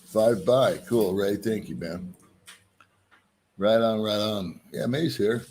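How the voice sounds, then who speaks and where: A man's voice: on the low side, slow pace, a little mellow, a little hiss or hum. A middle-aged man hosting a casual live stream, joking at his own expense and greeting viewers by name.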